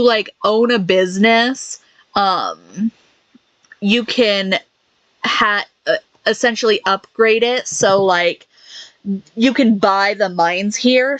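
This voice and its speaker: A woman giving casual gameplay commentary, reading game menus aloud in a halting way with fillers and restarts. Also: mid-range voice, a bit bright, no echo, lo-fi sound. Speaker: gaming YouTuber.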